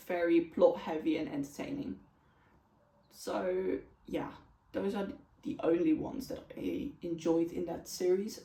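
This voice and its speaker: A woman's voice: medium pitch, mellow. A woman who speaks English as a second language, chatting casually and candidly to camera as she reviews books. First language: not English. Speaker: book reviewer and vlogger. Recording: roomy echo, distant from mic.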